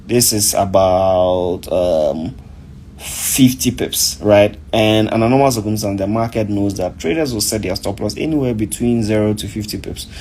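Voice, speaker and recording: A man's voice: on the low side, moderate pace, a little mellow, a little hiss or hum. A man from Africa, a forex trader, walking through a chart in a rambling, conversational way and often asking "right?". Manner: conversational, rambling, fills with "you know" and "right?". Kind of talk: casual chart walkthrough.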